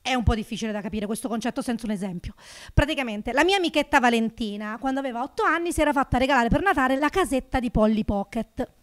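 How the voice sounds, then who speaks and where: A woman in her thirties from Naples performing a stand-up routine into a handheld microphone on a small club stage, with a sarcastic, animated delivery that jumps up for punchlines. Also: high voice. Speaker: stand-up comedian.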